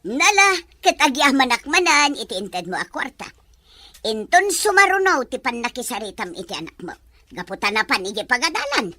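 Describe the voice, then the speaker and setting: A woman's voice: sits high, big pitch swings, moderate pace, bright, forceful tone, no room sound, heavily acted. A woman playing a scheming, irritable grandmother in an Ilocano drama, scolding and emphatic. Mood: brisk, wheedling. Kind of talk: Ilocano radio drama acting.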